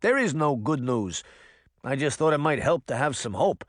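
A man's voice: medium pitch, very animated, normal speed, no echo, studio quality. A man voicing a nervous, paranoid male cat who is an army veteran, with a New Jersey accent. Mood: panicky. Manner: nervous, dramatic, doom-laden.

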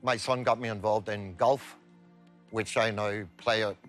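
A man's voice: fairly low pitch, steady tone, unhurried, fairly bright tone, soft background music. A Northern Irish man in his sixties, a quiz show contestant answering questions and chatting hesitantly, with frequent "uh"s. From Northern Ireland. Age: 60s. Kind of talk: studio quiz answers and chat.

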